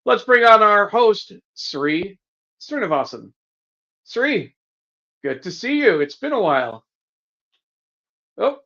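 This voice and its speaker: A man's voice: high-pitched, big pitch swings, slow, long pauses, bright, forceful tone, muffled recording. A man co-hosting a live online show as its producer, welcoming viewers and reading out greetings. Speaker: executive producer and co-host.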